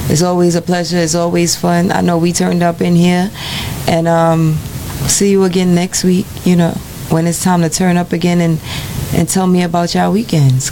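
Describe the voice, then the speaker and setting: Ny lady radio host, low raspy voice, rambling easy chat, noisy studio. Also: darkish tone. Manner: laid-back, rambling, lots of fillers and restarts.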